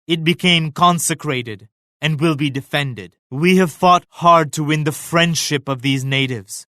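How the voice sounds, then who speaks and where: Casting: a devout Mughal emperor for a strategy game, fairly high male voice, grave formal declamation, dry studio sound. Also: a bit bright, dry recording. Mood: defiant, solemn. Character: devout, solemn, regal Mughal emperor, grown man. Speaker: video game voice actor. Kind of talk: scripted game character lines.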